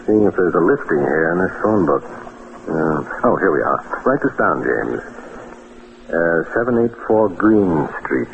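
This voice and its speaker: Shrewd, commanding male attorney, deep voice, muffled and noisy vintage radio detective recording. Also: animated, unhurried, vocal fry, full character voice. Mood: businesslike. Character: shrewd, self-assured male defense attorney turned sleuth. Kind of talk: old-time radio detective drama acting.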